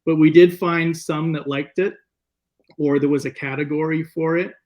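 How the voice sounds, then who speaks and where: Higher-voiced man telling film anecdotes. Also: fairly even intonation, normal speed, darkish tone. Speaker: guest filmmaker. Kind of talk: casual interview storytelling.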